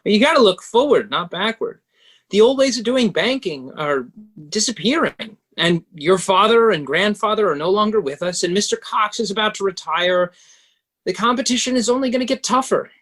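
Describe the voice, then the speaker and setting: A man playing a pragmatic, smooth-talking banker who coolly advises and persuades, in a table read of a stage musical. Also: high voice, lively intonation, moderate pace, fairly bright tone. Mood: persuasive, pressing.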